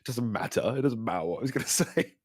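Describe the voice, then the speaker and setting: A man's voice: medium pitch, lively intonation, fast talker. A young man co-hosting a podcast in casual chat, his throat sore and cracking, nose stuffy, laughing often as he rambles. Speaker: podcast co-host.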